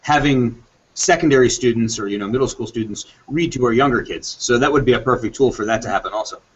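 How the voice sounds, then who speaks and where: A man's voice: mid-range voice, quick pace, muffled recording. A middle-aged man co-hosting a casual chat among educators over a headset video call. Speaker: podcast co-host and educator.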